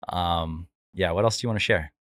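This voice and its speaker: A man's voice: sits low, a little fast, fairly bright tone, dry recording, clean studio sound. A man hosting a casual church interview as missions director, asking rambling questions and chiming in with encouraging words.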